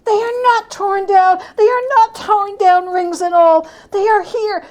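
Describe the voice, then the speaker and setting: A woman's voice: very high-pitched, fairly even intonation, a little slow, darkish tone, pitched above the performer's own voice. A woman playing a repentant old miser man in a dramatic storybook reading, stammering and exclaiming with overwrought emotion. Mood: giddy, overjoyed. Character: repentant old miser man, pleading then giddy with joy.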